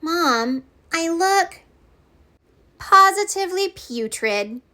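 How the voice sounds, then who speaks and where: A woman's voice: very high-pitched, lively intonation, takes it slowly, pushed and bright, voice pushed up, heavily acted. A woman voicing an eager, sweet little girl monster in a picture book, childlike and full of exclamation. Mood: hopeful.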